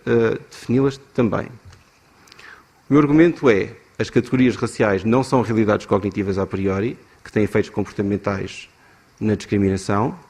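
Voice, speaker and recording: A man with a European Portuguese accent presenting an academic argument at a conference, measured and argumentative, with some hesitations. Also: medium pitch, darkish tone. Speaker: conference speaker.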